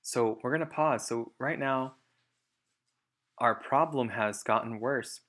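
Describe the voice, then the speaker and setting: A man's voice: medium pitch, takes it slowly, fairly bright tone. Man reading a children's story aloud. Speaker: storybook reader. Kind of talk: children's read-aloud narration with explanatory asides.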